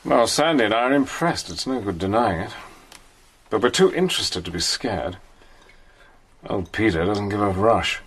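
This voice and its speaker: An actor narrating and playing a brave, level-headed British army major, telling the story in a crisp, steady way. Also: male voice, on the low side, moderate pace, long pauses. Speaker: narrator and hero. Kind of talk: radio play narration and acting.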